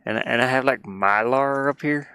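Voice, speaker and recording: A man's voice: medium pitch, moderate pace, sharp tone, clean tone, dry recording. A man chatting casually and informally while he draws on a tablet, rambling and often muttering half to himself. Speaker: livestreamer drawing on an art tablet. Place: inside a metal van lined with insulation.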